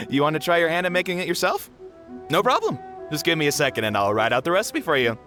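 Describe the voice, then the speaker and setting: A man's voice: a little high, big pitch swings, fairly quick, pushed and bright, faint music behind. A man voicing a friendly, generous snack stall vendor at a festival in a video game. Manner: easygoing, generous, chatty.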